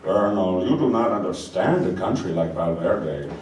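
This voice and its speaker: A smooth-mannered fallen dictator acted out by a man delivers deliberate, formal threats in a stage radio play. He sounds far from the mic in an echoey room, with some noise behind. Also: sits low, animated, a little mellow, voice pushed down. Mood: smooth menace. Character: suave, menacing deposed dictator, male villain. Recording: echoey, distant live room, background noise.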